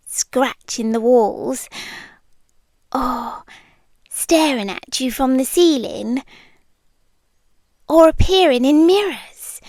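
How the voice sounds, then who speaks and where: Woman as a soft, high-voiced British monster girlfriend. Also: no room sound, heavily acted. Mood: dry, matter-of-fact. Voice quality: soft, breathy, often dropping into whispers. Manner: hesitant, dryly awkward, confiding. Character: shy, smitten female monster hiding under the bed. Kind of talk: intimate ASMR roleplay. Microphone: close microphone, dry.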